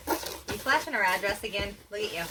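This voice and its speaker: A woman's voice: medium pitch, fairly quick, distant mic. A woman co-hosting casually, chiming in with playful, teasing remarks. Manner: playful, interjecting. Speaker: co-host chiming in. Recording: off-mic voice with faint room noise.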